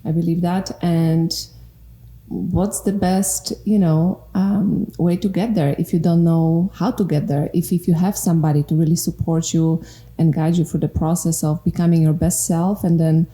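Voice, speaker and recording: A woman in her forties from Poland, a relationship coach speaking as a guest in a casual podcast chat, earnest and quick to laugh. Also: on the low side, fairly even intonation. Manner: warm, earnest, laughs often. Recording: echoey room.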